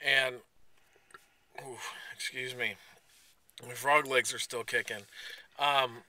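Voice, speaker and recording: A man's voice: medium pitch, fairly even intonation, takes it slowly, sharp tone. An American man in his thirties chatting casually to a camera in a car, rambling in a jokey, enthusiastic way. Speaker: vlogger. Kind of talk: casual vlog chat. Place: inside a parked car. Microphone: camera at arm's length.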